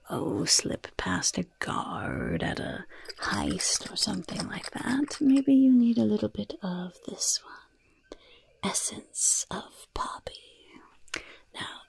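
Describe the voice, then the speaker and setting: A woman voicing a mischievous goblin shopkeeper in a whispered ASMR roleplay, with sly, gleefully polite sales patter in a breathy, gravelly voice. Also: mid-range voice, exaggerated voice. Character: mischievous goblin shopkeeper selling poisons and cursed items.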